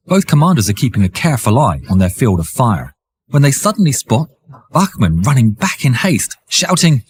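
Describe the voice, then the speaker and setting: A man reading dramatic scripted war history narration in a theatrical, suspenseful way, with a husky, raspy voice. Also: medium pitch, dry recording.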